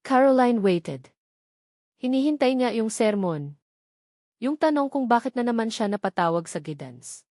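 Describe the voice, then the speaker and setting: A woman's voice: fairly high pitch, animated, a little slow, pauses often, a little mellow, no room sound, studio quality. A woman with a Filipino accent narrating a scripted dramatic story in an emotive storytelling manner.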